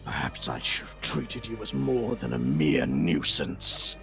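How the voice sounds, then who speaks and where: A British man voicing a proud, bitter fallen male villain with theatrical, menacing delivery and drawn-out whispers. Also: rumbling low, big pitch swings, vocal fry, fairly bright tone, soft background music, voice pushed down, exaggerated voice. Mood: scornful.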